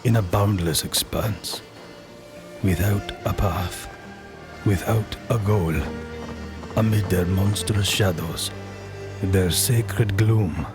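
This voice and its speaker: A man gives a solemn dramatic reading in a low, unhurried voice. Each phrase is drawn out gravely, and music plays clearly behind him.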